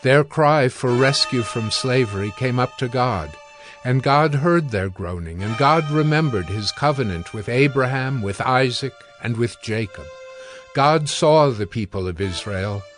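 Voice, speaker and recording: A man narrates scripture in a scripted audiobook style. His voice is medium in pitch, with soft music underneath. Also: animated, a little slow.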